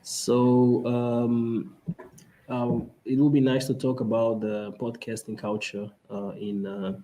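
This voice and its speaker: A man from Angola with an Angolan Portuguese accent, hosting a podcast and talking in a halting way with many fillers and restarts. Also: mid-range voice, level tone, warm tone, poor audio. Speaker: podcast host. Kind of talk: casual conference talk.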